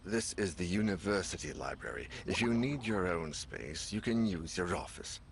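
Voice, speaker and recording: An actor playing a weary, troubled man investigating a horror mystery in a video game, speaking gravely. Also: deep voice, lively intonation, normal speed, a little hiss or hum. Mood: curious. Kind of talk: dramatic video game narration and dialogue. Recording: loud music behind the voice.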